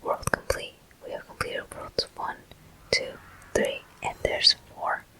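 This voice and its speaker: A breathy, unvoiced whisper narrates gameplay as an ASMR host would. The delivery is very gentle and slow, with long, soothing phrasing. The sound is crisp and very bright, all air and no voiced tone. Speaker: gaming host. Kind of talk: whispered ASMR game commentary.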